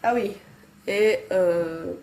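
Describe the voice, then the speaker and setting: A woman's voice: fairly low pitch, extremely slow, a little mellow. A woman in her thirties with a Belgian French accent and a head cold, chatting casually and hesitantly to her viewers as she works through her monthly budget. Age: 30s. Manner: hesitant, many fillers and restarts. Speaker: budgeting vlogger.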